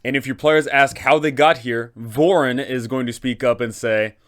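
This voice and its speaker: Male host for roleplaying explainer: smooth, clean voice, mid pitch, informal and emphatic game master narration. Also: moderate pace, a bit bright.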